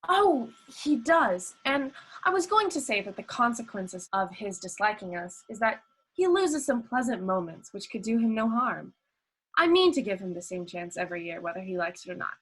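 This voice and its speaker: Husky, lively, forgiving young relative played by a woman. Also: medium pitch, normal speed, poor audio. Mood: good-humored, forgiving. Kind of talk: radio play acting.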